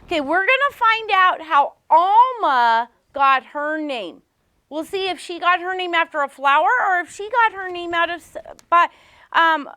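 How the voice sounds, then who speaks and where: Female primary teacher for a read-aloud lesson. Very high, lively, bright voice, slow and emphatic, questioning young pupils. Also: no room sound. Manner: animated, simple questions with emphatic repetition for small children.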